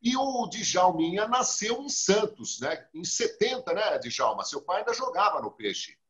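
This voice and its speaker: A Brazilian man hosts a talk show over a webcam, spinning anecdotes and acting out what others said. His voice sits somewhat high for a man. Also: measured pace. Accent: Brazilian Portuguese. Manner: storytelling, lively quoting of others. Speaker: host. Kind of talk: casual talk show chat. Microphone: video call webcam.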